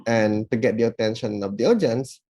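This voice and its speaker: A man giving formal, measured feedback as a judge, working through numbered points. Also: on the low side, dark tone, slightly rough. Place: online event recording.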